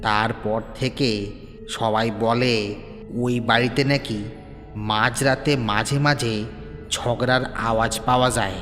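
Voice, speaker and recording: A man voicing a tea stall keeper who calmly recounts a local tale in a matter-of-fact way. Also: mid-range voice, takes it slowly, long pauses, long reverb tail, faint music behind. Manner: calm, matter-of-fact storytelling. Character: middle-aged tea stall man telling a local story.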